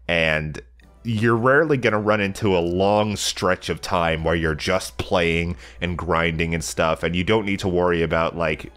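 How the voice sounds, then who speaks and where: A man's voice: deep voice, fairly bright tone. A young man with a stuffy, slightly nasal voice from a cold, casually rambling about a video game with plenty of fillers. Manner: rambling, lots of fillers and self-corrections. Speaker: let's play channel regular. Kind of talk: casual game review monologue.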